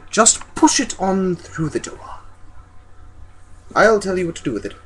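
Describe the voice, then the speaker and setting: A man's voice: high-pitched, big pitch swings, measured pace, voice pushed up. A man voicing a small, busy, polite rat-man writer in a theatrical story reading. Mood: brisk, asking.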